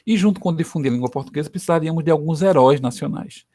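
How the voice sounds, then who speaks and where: A man's voice: on the high side, racing, warm tone, no room sound. Brazilian man teaching history, dry sound. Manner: conversational, frequent "né" tag questions. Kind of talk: recorded history lesson.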